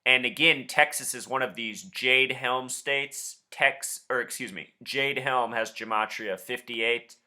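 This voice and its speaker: Bright-voiced, insistent man. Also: medium pitch, unhurried, few pauses. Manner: insistent, suspicious. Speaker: video host and caller. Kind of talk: conspiracy commentary and confrontational phone questioning.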